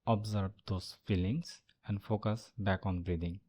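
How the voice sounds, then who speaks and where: A man leading a guided meditation as the instructor, speaking in a calm, measured way with long pauses. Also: on the low side, breathy edge.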